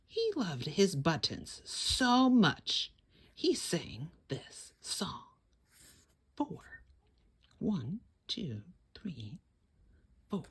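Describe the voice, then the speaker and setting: A woman hosting a children's story time, reading a picture book aloud in a theatrical, deliberate way with exclamations. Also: lowish voice, breathy edge. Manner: slow, deliberate phrasing for young children. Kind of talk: animated read-aloud picture book storytelling with sung refrains.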